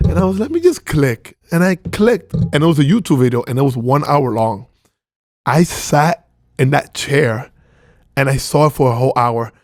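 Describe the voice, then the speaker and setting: A man's voice: mid-range voice, expressive, moderate pace, no echo, clean studio sound. A man from Venezuela, a podcast guest, telling his story in casual chat and acting out his own past reactions. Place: podcast studio.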